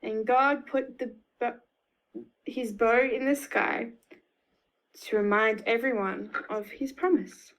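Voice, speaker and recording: Slow, higher-pitched Australian woman reading stories. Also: a little hiss or hum, rough recording. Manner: expressive, emphatic repetition with sound effects. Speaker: children's storyteller. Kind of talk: picture-book read-aloud for young children.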